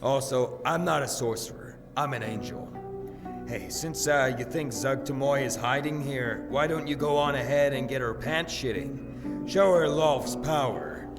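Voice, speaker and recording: A man voicing a gruff, bickering male paladin in an audio drama, with a gravelly, sarcastic delivery. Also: medium pitch, measured pace, natural voice. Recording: reverberant hall, music behind.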